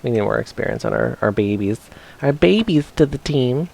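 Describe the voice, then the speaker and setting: A man's voice: mid-range voice, lively intonation, normal speed, creaky, a little mellow. A man doing casual, rambling gameplay commentary for a live stream, chatting playfully to his viewers as he plays. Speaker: game streamer.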